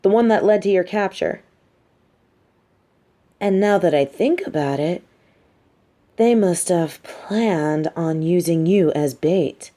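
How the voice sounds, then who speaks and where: A woman playing a sardonic, scheming supervillain in a scripted audio roleplay, with a theatrical, measured, wry delivery and grudging sympathy. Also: fairly low pitch, mellow. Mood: probing, intrigued. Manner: theatrical, measured, wry, drops into muttered asides.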